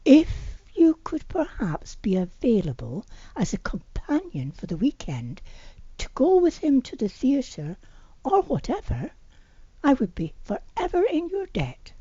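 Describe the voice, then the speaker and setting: A woman's voice: high voice, wildly swooping pitch, a little slow, mellow, breathy edge, no echo, muffled recording, voice pushed up, full character voice. A woman reading the part of a hesitant but pushy female friend asking a favour in an amateur audiobook. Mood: hesitant pleading. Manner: hesitant, coaxing. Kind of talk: amateur audiobook character dialogue.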